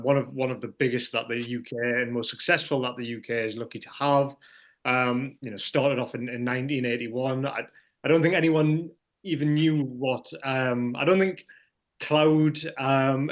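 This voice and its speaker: A man hosting a webinar over a video call, introducing a guest with many ums and restarts. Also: mid-range voice. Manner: hesitant, many fillers. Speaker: webinar host.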